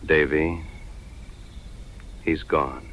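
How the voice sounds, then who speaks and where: An actor playing an inquisitive, determined frontier sidekick in an audio western. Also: male voice, extremely low pitch, fairly even intonation, very slow, loud music behind the voice, exaggerated voice. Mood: intent. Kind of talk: dramatized audio western dialogue.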